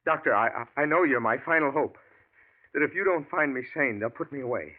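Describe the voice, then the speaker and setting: A man's voice: medium pitch, expressive, fairly quick, lightly acted. Guy doing a jittery 30s writer, stammers a lot, tinny old radio. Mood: desperate. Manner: anxious, stammering, urgent. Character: nervous male writer of thirty-five. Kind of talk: 1940s radio acting.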